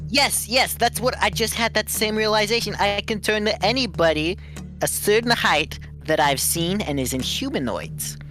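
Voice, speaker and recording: A man's voice: high voice, animated, normal speed, bright, forceful tone. A man chatting casually as a player in a tabletop roleplaying game, with music behind him.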